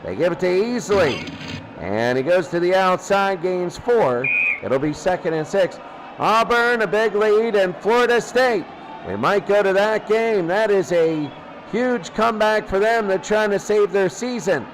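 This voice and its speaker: High-voiced American football commentator, noisy man. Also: expressive, a little slow. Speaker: play-by-play commentator.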